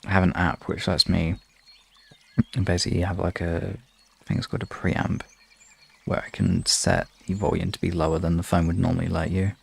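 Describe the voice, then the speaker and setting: Creaky low-voiced man, rambling casually. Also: level tone. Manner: halting, rambling, informal with swearing. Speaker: streamer chatting with live viewers. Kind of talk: casual livestream chat. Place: room in an apartment. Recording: clearly noisy home room recording.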